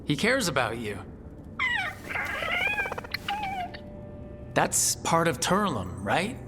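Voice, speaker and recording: A man's voice: fairly high pitch, big pitch swings, unhurried. A man playing an earnest hero in scripted fantasy game dialogue. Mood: calm. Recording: long reverb, music behind.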